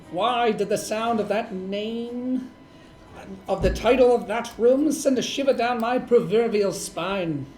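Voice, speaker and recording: A woman playing a wry, weary male private detective in a noir parody, speaking in a dry deadpan. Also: medium pitch, natural voice. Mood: weary, brooding. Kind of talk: noir parody dialogue and narration. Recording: roomy echo, faint music underneath.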